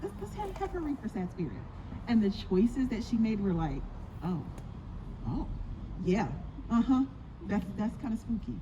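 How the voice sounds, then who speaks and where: A woman director talking in a halting, reflective way at a live Q&A. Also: on the high side, very animated, mellow. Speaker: audio play director. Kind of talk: live Q&A talk. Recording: muffled, noisy live event recording.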